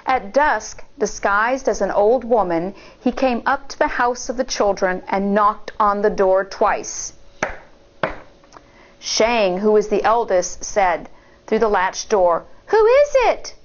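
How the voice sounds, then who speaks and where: A woman teacher reading a picture book aloud to children in a deliberate, explanatory way. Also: medium pitch, lo-fi sound. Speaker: elementary school teacher, story reader. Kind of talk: storybook read-aloud with asides to children.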